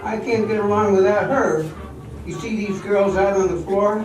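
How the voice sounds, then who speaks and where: A man's voice: sits high, fairly even intonation, normal speed, lightly acted. An amateur actor playing a bitter, stubborn, proud male dancer who has been jilted and is drinking, in a radio drama. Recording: very echoey hall, distant mic, music behind.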